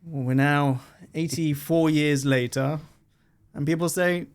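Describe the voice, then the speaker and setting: Man, measured interview guest. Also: fairly high pitch, steady tone, smooth. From Israel. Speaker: diplomat guest. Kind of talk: conversational interview.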